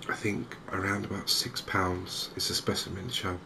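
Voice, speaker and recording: A soft-spoken man with an English accent giving unhurried, hesitant ASMR commentary over a video game. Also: sits low, little pitch movement, vocal fry, noisy background. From England. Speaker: gaming video host.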